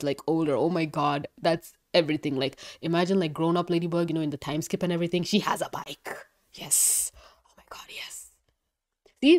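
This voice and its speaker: Congested, gushing young woman reviewer. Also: fairly low pitch. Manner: gushing, excitable, swears freely. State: congested, nasal. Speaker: cartoon episode reviewer. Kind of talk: casual review commentary.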